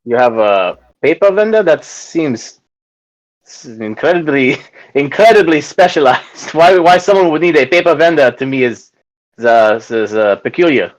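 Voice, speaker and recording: A man's voice: on the high side, animated, normal speed, natural voice. A man voicing a polite, awkward customer in a put-on French accent, stammering and flustered. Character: polite, awkward young man shopping for paper. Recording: dull lo-fi audio.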